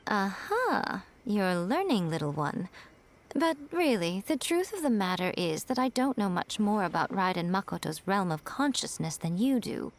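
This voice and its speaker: A woman voicing a sly, elegant fox-spirit shrine familiar in a video game, poised and lofty with deliberate, teasing phrasing. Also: medium pitch, very animated. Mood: teasing, knowing. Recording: game music underneath. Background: game music.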